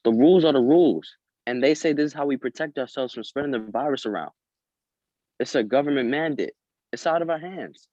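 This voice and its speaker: A man's voice: mid-range voice, a little fast, mellow, no room sound. A man at a table read over a video call, reading script lines and coaching others between them. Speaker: script reader and prompter. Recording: dry, slightly dull call audio.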